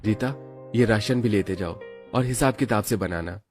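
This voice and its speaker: Man playing a low-voiced, humble, worried labourer. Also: moderate pace, darkish tone, faint music behind, close to an everyday voice. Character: poor, humble, worried male labourer and father. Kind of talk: Hindi animated moral story acting.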